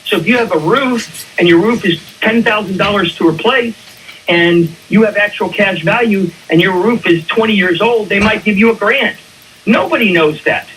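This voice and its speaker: A man's voice: high voice, moderate pace, fairly bright tone, recorded from a distance, slight background noise, low-quality recording. A man speaking as an expert guest on a radio talk show, explaining conversationally and emphatically, with rhetorical questions. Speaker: attorney and insurance claims expert, radio guest. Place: radio station.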